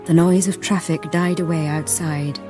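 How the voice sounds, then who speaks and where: An actress playing a sober investigator, narrating a gothic radio drama in a grave, measured way. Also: female voice, fairly low pitch, fairly even intonation, close to an everyday voice. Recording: music behind.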